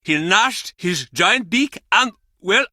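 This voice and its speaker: A crusty old seafarer from a video game. His forceful voice leaps up and down as he grumbles curtly or spins grand, theatrical yarns, all captured close and dry. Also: high voice, expressive, slow, no room sound, heavily acted. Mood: boastful storytelling. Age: older. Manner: blustering, curt, theatrical storytelling. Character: gruff, cantankerous old fisherman given to tall tales. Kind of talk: video game character acting.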